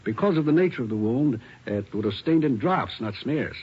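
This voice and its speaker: A man's voice: medium pitch, lively intonation, normal speed, husky. An actor playing a methodical male crime lab technician explaining evidence step by step. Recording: dull old radio broadcast.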